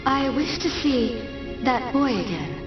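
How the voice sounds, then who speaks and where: A woman's voice: sits high, animated, recorded from a distance, highs cut off. Solemn regal lady, echoing voice. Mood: grave, wistful. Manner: stately, formal, measured. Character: solemn, regal reawakened lady of a machine people. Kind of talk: video game cutscene acting. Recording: echoey, set back, music behind.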